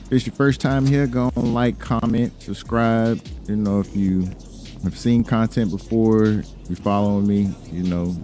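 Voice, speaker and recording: An American man with a very deep, mellow voice talks casually over his gameplay. He rambles in a laid-back, slangy way, like a streamer chatting to viewers. Music plays behind him. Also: steady tone, unhurried. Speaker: gaming YouTuber hosting a roleplay video.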